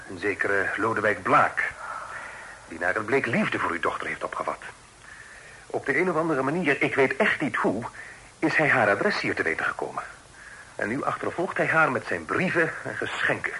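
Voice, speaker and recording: An actor playing a courteous, earnest young gentleman in a period radio drama, explaining himself politely and formally. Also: mid-range voice, expressive, normal speed, low-quality recording. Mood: earnest, explanatory. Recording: hissy old radio play recording.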